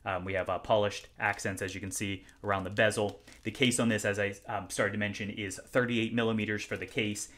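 Low-voiced man chatting through an unboxing. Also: little pitch movement, a little fast, hardly any pauses, a bit bright. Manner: chatty, self-deprecating, full of ums and restarts. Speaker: watch reviewer. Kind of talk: casual unboxing commentary. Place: home room, indoors.

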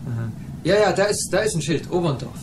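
Man playing calm dad in an old german radio play, animated intonation, noticeable background noise. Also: a little high, fast talker, natural voice. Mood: reassuring. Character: calm, reassuring father of two on holiday.

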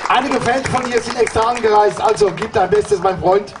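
A man's voice: high-pitched, fairly even intonation, moderate pace, background noise. Joking male announcer at an outdoor party. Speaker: host. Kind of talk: stage announcing. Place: outdoor summer party stage. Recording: distant camera, crowd and music.

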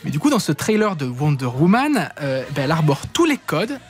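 Young guy, lively talker, radio studio mic, kinda higher voice. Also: fairly quick, faint music behind. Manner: lively, talks with his hands. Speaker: web news columnist. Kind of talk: radio chronicle, casual banter. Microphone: studio mic with headphones.

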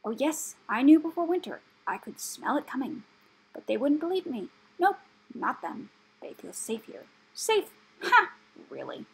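A woman's voice: high-pitched, very animated, measured pace, gravelly, voice pushed up, heavily acted, poor audio. A woman voicing a cross, indignant female rat in a storybook, muttering, hissing and exclaiming. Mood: peevish muttering. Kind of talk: audiobook character voice.

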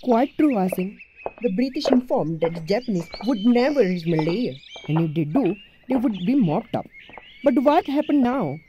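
A man's voice: high voice, big pitch swings, normal speed, highs cut off, background noise. A man playing an opinionated townsman who complains indignantly while waiting for his food, in an amateur radio play.

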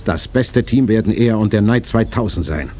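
An actor playing a determined, visionary older gentleman in a radio play, speaking in a measured, resolute way. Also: fairly low pitch, expressive, lightly acted. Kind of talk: dubbed-style radio play acting. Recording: faint noise on cassette transfer.

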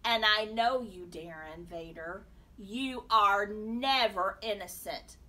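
A woman's voice: medium pitch, slow pace, sharp tone, distant mic, rough recording. A woman voicing a suspicious, urgent mother confronting her son in a storybook. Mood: accusing. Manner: urgent, accusing.

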